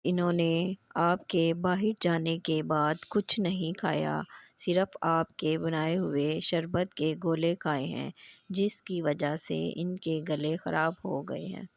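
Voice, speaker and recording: A woman voicing a village seller's wife and mother in a cartoon story, with plain, measured delivery. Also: sits low, fairly even intonation, gravelly, no room sound, full character voice. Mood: matter-of-fact.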